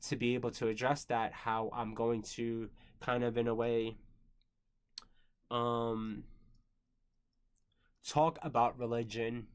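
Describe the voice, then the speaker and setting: A man's voice: mid-range voice, little pitch movement, slow pace, long pauses, smooth. A man in his thirties, born in the Philippines and not a native English speaker, rambling through a casual personal monologue with many fillers and some swearing. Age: 30s. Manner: rambling, filler-heavy, frequent "you know" and "um". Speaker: creator answering viewer questions.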